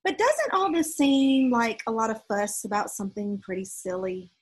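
A woman's voice: a little high, expressive, normal speed, slightly rough. A woman voicing an outspoken, protective mother in a storybook, firm and questioning. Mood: annoyed, challenging.

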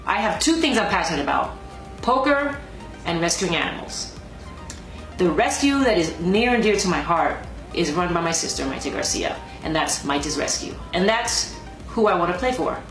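Woman, 40s, low calm voice, roomy echo, faint music. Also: moderate pace. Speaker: contest entrant. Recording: echoey room.

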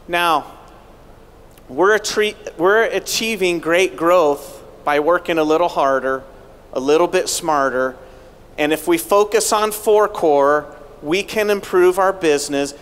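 Middle-aged male keynote speaker, high smooth voice, deliberate delivery, handheld mic, long arena reverb. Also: a bit bright. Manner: rallying, asks the audience rhetorical questions. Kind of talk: motivational keynote speech. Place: large arena stage. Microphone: handheld microphone.

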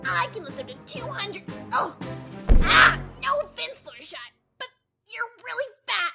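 A woman doing a loud cocky tomboy pegasus. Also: extremely high pitch, very animated, normal speed, vocal fry, loud music behind the voice, full character voice. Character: brash tomboyish young female pegasus pony.

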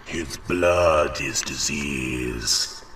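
A man voicing an ancient, menacing spider entity in a deep gravelly whisper, heard from far off in an echoey space. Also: very slow, background noise, heavily acted. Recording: distant, echoey, over loud music.

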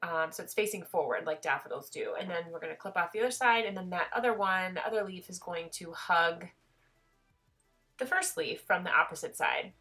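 A woman with a stuffy head cold talks you through a craft project in a chatty, easygoing way. Her voice sits a bit low but sounds bright and crisp. She fills pauses with ums and makes fun of herself. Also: faint music behind. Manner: chatty, self-deprecating, lots of "um" and "gonna". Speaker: craft tutorial host. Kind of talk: casual step-by-step craft tutorial.

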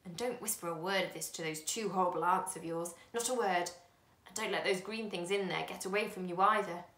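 Woman putting on a creaky, secretive old man. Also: on the low side, fairly bright tone, reverberant, close to an everyday voice. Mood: urgent instructing. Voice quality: slow and creaky. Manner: coaxing, secretive whispers. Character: small, bald, whiskered, sly old man.

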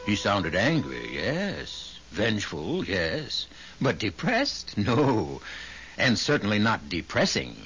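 A man narrating and hosting a 1970s radio drama in a scripted, theatrical, deliberate way. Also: fairly low pitch, wildly swooping pitch, a bit bright, a little hiss or hum. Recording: muffled old radio broadcast. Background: music.

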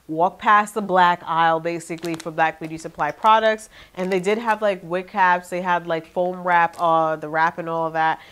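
A young woman with a nasal, congested voice chatting to her viewers about her shopping haul in her living room. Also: lowish voice. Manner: chatty, animated, talks to viewers directly. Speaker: vlogger. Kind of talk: casual haul video chat.

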